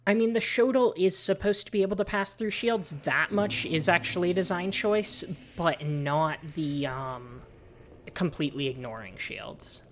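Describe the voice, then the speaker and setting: A woman with a low, androgynous and slightly raspy voice, chatting casually with viewers while she plays and talks game lore. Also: moderate pace, slight background noise. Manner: soothing, chatty, thanks viewers often. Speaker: livestreamer and lore host. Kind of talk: casual livestream chat while gaming.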